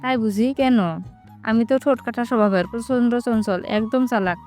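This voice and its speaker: A woman's voice: on the high side, fairly even intonation, moderate pace, darkish tone, lightly acted. A woman playing a bold, teasing young woman in love in a romantic audio drama. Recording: music bed under the voice.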